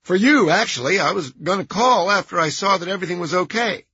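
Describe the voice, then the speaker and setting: A male audiobook reader plays a middle-aged male caretaker who is rattled and eager to please. His voice sits high and pinched in the nose, with a bright, strained edge. He pleads and apologizes nervously. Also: animated, fairly quick, breathy edge, no echo, dull audio, heavily acted. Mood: shaken, relieved. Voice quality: high-pitched, nasal. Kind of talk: audiobook character voice.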